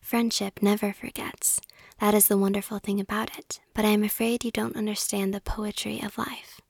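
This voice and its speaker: A woman's voice: medium pitch, little pitch movement, moderate pace, dry recording, clean studio sound. A woman reading aloud in a whisper, voicing a pompous, self-satisfied male miller who lectures on friendship. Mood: smug. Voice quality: whispered, gravelly. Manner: smug, lecturing.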